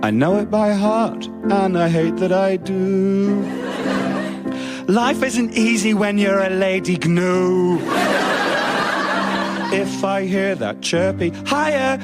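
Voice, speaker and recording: A man's voice: high-pitched, slow pace, long pauses, loud music behind the voice. A British man playing a long-suffering, resigned zookeeper, singing a lilting comic song. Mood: weary, exasperated.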